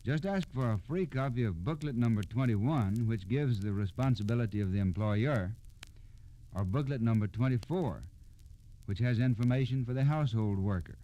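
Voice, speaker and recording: A man's voice: mid-range voice, normal speed, warm tone, a little hiss or hum, low-quality recording. An American man narrating from a script as an old-time radio storyteller, in a plain, explanatory way.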